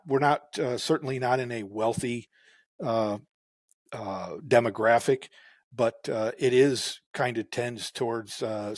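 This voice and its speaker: A male farmer speaking at an ordinary middle pitch, easy and unhurried, with a lot of "uh" and "um" as he works his way through his thoughts. The recording is dry and close, with no room around the voice. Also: no room sound. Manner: unhurried, many fillers and restarts. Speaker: farmer guest. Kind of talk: casual interview talk.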